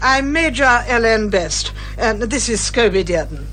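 An actress playing a brisk, commanding older British lady detective in a theatrical radio detective comedy. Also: a little high, animated, a bit bright, faint music behind. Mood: dry, assured. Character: bossy, eager older lady amateur detective, a retired major.

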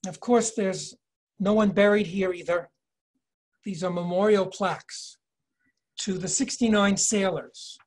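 An older man in his sixties leading a virtual tour lecture from home over a video call, measured and explanatory, reading inscriptions slowly. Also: high-pitched. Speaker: tour guide and educator. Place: home office, indoors. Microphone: video call webcam.

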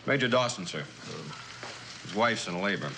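A man playing a deferential military aide in a vintage suspense drama, reporting in a brisk, matter-of-fact way. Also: fairly low pitch, animated, noisy background, close to an everyday voice. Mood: brisk, dutiful. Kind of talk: mid-century suspense drama acting.

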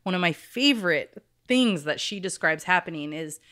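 A woman's voice: fairly low pitch, lively intonation, normal speed, fairly bright tone. A woman hosting a true crime podcast, telling stories in a casual, candid, informal way. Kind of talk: casual storytelling chat.

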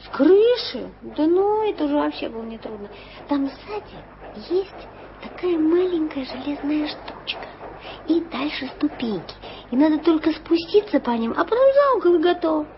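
A woman's voice: high voice, sing-song, moderate pace, slight background noise. An actress voicing a bold twelve-year-old girl who wants to be a journalist, talking in a breathless, stammering, fumbling way in an old radio play. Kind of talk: 1980s radio play acting. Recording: slightly dull old radio recording.

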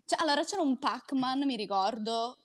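A woman's voice: high voice, expressive, normal speed. A young adult woman chatting casually as a guest on a group stream. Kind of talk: casual chat. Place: indoor room, group video call.

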